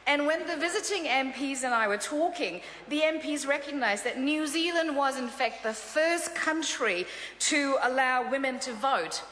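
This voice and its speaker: A woman's voice: high voice, expressive, normal speed, a bit bright, a little hiss or hum. A middle-aged woman, a native Korean speaker, giving an upbeat parliamentary speech in a large chamber, with frequent filler sounds. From South Korea. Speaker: member of parliament. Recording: echoey chamber with long reverb.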